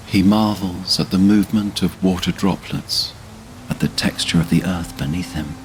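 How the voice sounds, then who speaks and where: A man narrating a literary fantasy audio drama in a solemn, measured, dramatic way. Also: sits low, a little hiss or hum, low-quality recording.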